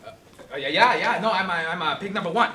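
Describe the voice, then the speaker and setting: An actress playing a frazzled, jittery talking pig in a comic play, stammering and repeating words, in an echoey room. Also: female voice, lowish voice, animated, fast talker, background noise, close to an everyday voice. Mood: flustered, rambling. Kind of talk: comic stage-play acting.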